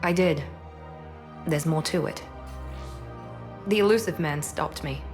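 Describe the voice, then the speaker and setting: A woman's voice: sits low, slow pace, darkish tone, faint music behind. A woman playing a proud, guarded secret operative in a video game, speaking in a serious, controlled and earnest way. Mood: confessional, regretful. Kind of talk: cinematic video game acting.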